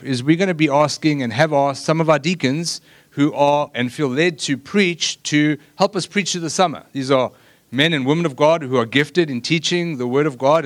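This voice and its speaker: A man who pastors the church, giving announcements to the congregation and leading a short prayer in a friendly, informal manner. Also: on the high side. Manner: friendly and easygoing, then earnest in prayer. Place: church stage.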